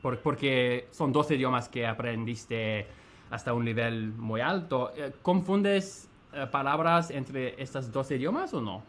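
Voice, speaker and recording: A man chatting as a guest on a video call, speaking haltingly with many fillers. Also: mid-range voice, animated, fairly bright tone, slight background noise. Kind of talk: casual multilingual chat.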